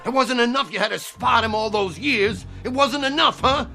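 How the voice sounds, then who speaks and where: A man's voice: very high-pitched, normal speed, gravelly, sharp tone, faint music behind, heavily acted. An actor playing an anxious, loyal boxing cornerman in a radio drama, fretful and pleading. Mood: fretful, concerned.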